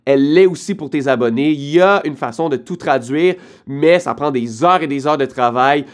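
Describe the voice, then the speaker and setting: A man's voice: fairly high pitch, normal speed. A man with a Québécois French accent, casually addressing viewers as a vlogger with direct, emphatic advice. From Quebec, Canada. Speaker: online business creator.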